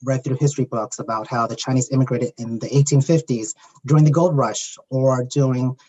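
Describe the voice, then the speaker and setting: A man leads a panel discussion in a mid-pitched voice, steady and unhurried. His words come with frequent fillers. The recording sounds dull and lo-fi. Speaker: panel moderator.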